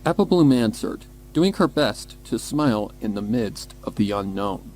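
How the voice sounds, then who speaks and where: A man narrating a scripted dark story in a measured, dramatic way. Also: on the low side, animated. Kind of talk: scripted story narration, horror fan fiction. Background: background music.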